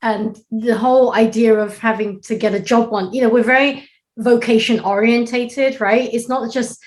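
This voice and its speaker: A woman's voice: fairly high pitch, steady tone, moderate pace, darkish tone. A woman from the UK, a doctor speaking as a panel guest in a conversational way. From United Kingdom. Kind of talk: livestream panel discussion.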